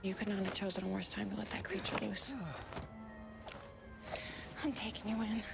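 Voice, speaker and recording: An actress playing an officious young American witch investigator in a cartoon game, brisk, insistent and by the book. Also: mid-range voice, level tone, vocal fry, loud music behind the voice. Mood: stern. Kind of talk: animated game cut-scene acting.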